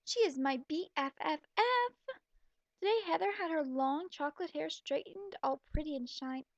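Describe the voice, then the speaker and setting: A shallow, self-absorbed teenage girl acted out by a woman gushes and whines through her storybook diary. The voice is high with vocal fry, on a slightly dull recording. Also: expressive, normal speed, no echo.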